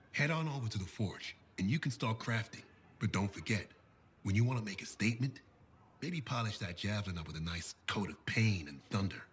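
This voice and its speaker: Low-voiced, showy arms merchant. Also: male voice, fairly bright tone, slightly rough, heavily acted. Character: brash, friendly male armor smith and arms merchant. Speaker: merchant at a forge. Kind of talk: video game dialogue.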